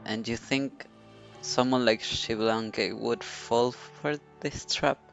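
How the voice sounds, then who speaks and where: A casual game streamer reacting live in a dry, playful way. The pace is slow and the sound slightly muffled. Faint game music runs underneath. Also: medium pitch, fairly even intonation, gravelly.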